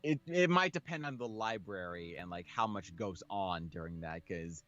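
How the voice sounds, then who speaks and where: A man reading a visual novel aloud as a game streamer, with casual, expressive commentary along the way. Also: sits low, normal speed. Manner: animated, expressive. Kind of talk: let's play narration and casual commentary. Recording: dull audio with music underneath.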